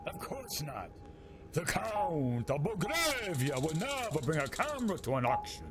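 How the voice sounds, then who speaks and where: A man's voice: on the high side, big pitch swings, measured pace, a crowd in the background. A man playing a brash, cocky film director turned adventurer with an American accent, in old radio serial style. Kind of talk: 1940s radio serial acting.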